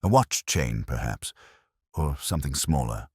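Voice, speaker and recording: A man playing a brooding, erudite English detective who narrates a mystery in measured, introspective, literary phrases with a husky voice. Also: rumbling low, animated, a little mellow, no room sound, studio quality, exaggerated voice. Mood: wistful, suspicious. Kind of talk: scripted first-person mystery narration.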